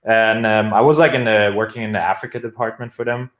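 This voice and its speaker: A man's voice: fairly low pitch, fairly even intonation, a little fast. Young german guy, thick accent, lots of um and uh, sounds roomy. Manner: rambling, many fillers and restarts. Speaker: guest product manager. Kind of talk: casual interview chat.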